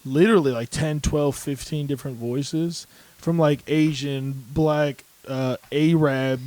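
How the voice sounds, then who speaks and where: A man's voice: a little high, fairly even intonation, measured pace, a little mellow, no echo. Crude joking man, dry close sound. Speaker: podcast co-host. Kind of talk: casual comedic chat.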